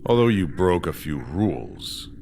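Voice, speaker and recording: An actor playing a gruff, foul-mouthed, grim male official with a gravelly voice in a sci-fi horror audio drama. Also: low voice, very animated, a little slow, darkish tone, slight background noise, full character voice. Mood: stern.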